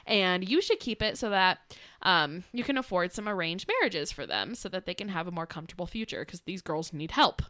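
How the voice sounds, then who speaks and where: A young woman chats through a fairytale on a podcast, laughing often and tossing in sarcastic asides. Her voice is bright and lively in pitch, and the sound is close and dry. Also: a little fast, no echo. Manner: giggly, sarcastic, acts out quotes in voices. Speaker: podcast co-host. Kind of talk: casual storytelling chat.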